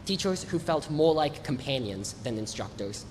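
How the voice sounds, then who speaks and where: A teenage boy with a congested voice, reading a prepared graduation speech in an earnest, measured way from a stage microphone. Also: on the high side, lively intonation, darkish tone. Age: teens. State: congested from allergies. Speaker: graduating student speaker. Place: large hall or gym, on stage. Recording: echoey hall with faint noise.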